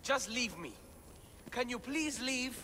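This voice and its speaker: A man's voice: extremely high pitch, animated, takes it slowly, bright, forceful tone, heavily acted. A man playing an anxious, self-doubting scholar in a video game cutscene, speaking in a fretful, plaintive and emphatic way. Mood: distraught. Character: anxious, self-doubting male scholar translating ancient relics. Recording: long cavernous reverb, clear background noise.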